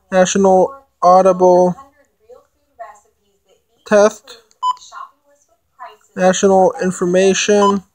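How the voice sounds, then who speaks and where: A woman giving a casual product demonstration, haltingly thinking aloud as she reads out menu items. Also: medium pitch, gravelly, a little mellow, low-quality recording. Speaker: amateur product reviewer.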